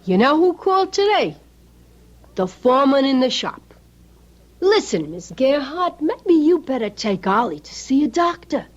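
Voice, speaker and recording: A dull, vintage radio drama recording. a suspicious American wife with a high, highly animated voice, scolding and sarcastic, blunt with every word. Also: female voice, measured pace. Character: sharp-tongued, suspicious, nagging working-class wife. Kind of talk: old-time radio drama acting.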